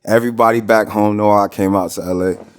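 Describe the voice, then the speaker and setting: Man, American, low voice, podcast guest, casual, slangy storytelling. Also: fairly even intonation, fairly quick, clean tone. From Minnesota, United States. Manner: slangy, informal, rambling storytelling. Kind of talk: casual interview chat.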